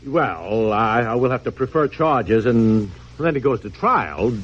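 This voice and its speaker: An actor playing an exasperated, stammering, blustery suburban husband in a mid-century American radio comedy. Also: male voice, medium pitch, lively intonation, measured pace. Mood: flustered. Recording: muffled, noisy old radio recording.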